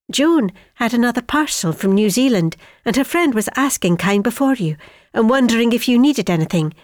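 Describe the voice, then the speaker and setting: A woman's voice: medium pitch, no room sound, studio quality, lightly acted. A middle-aged woman playing an affectionate wartime mother, chattily reading out a letter to her son. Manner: homely, chatty.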